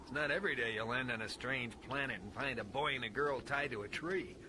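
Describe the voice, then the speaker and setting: An actor playing a brash, wisecracking Jedi trainee with a quick temper in an audio drama. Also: male voice, medium pitch, noisy background, natural voice. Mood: wry, wary. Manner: wry, wisecracking.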